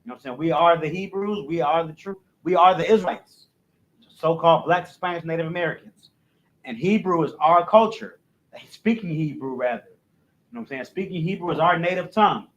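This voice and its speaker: A man's voice: brisk, dull audio. A man leading a recorded language class in a casual, repetitive way, often adding "you know what I'm saying" in a dropped voice. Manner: recites letters loudly and rising in pitch. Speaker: class instructor.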